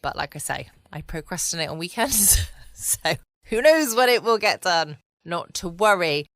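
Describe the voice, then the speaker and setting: A woman's voice: fairly low pitch, a bit bright, no echo. A woman hosting a casual bedtime podcast from home, chatty and rambling. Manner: chatty, rambling, light laughs. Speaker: podcast host.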